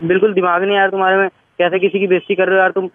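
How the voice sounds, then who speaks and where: High-voiced young man on a thin phone line. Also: completely flat delivery, very fast, heavy background noise. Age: young adult. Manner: indignant, protesting. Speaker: prank call victim. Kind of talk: phone conversation. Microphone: telephone.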